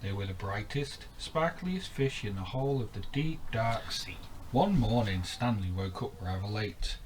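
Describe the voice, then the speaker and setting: A man reading a children's bedtime story aloud in an expressive, theatrical narrating style. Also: fairly low pitch, a little slow, slight background noise, low-quality recording.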